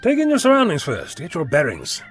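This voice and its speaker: An eccentric genius time traveller acted by a man in an audio drama. He is breezy, wordy and wry, with a very lively pitch, and faint music plays behind him. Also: brisk. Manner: wordy, breezy, gently lecturing.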